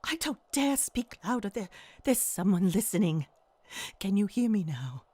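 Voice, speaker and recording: A woman's voice: medium pitch, sing-song, measured pace, dark tone, husky. A woman playing an anxious, demanding invalid wife in a radio thriller, stammering and pleading breathlessly. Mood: hushed fear. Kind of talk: radio play thriller acting.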